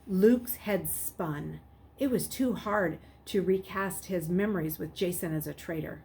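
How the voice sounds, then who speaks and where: A woman narrating a novel aloud in an expressive, dramatic storybook style. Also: fairly low pitch, unhurried. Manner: dramatic, acts out the characters. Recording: clearly noisy background.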